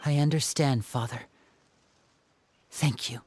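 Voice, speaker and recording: A man's voice: mid-range voice, fairly even intonation, takes it slowly, a little mellow, slight background noise, natural voice. An actor playing an earnest, respectful young man devoted to his adoptive family, in dramatic video game dialogue. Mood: grateful, determined.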